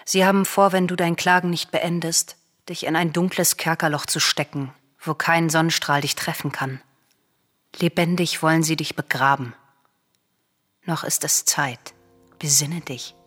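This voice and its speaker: A pragmatic, submissive sister from Greek tragedy, in a lowish voice. She reasons and admonishes urgently, as one who bows to the powerful in a radio drama. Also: fairly even intonation, measured pace, a bit bright. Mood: urgent warning. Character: Chrysothemis, cautious, submissive princess, pragmatic pleading sister.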